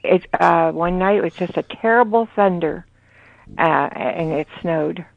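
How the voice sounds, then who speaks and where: A woman's voice: on the low side, unhurried, creaky, no echo. An older woman chatting on a late-night phone call, rambling with many hesitations. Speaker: prank call target. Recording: dull phone-line audio.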